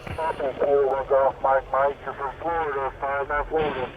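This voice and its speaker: A man's voice: medium pitch, little pitch movement, normal speed, phone-quality sound. A man heard over amateur shortwave radio, giving short contact exchanges and call signs. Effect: voice received over shortwave radio. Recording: noisy shortwave radio reception with static.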